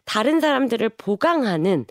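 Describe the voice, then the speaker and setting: Female reporter, mid pitch, very lively intonation, dry studio sound, explanatory political briefing. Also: normal speed, no room sound. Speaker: newspaper reporter. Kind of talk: conversational political news briefing.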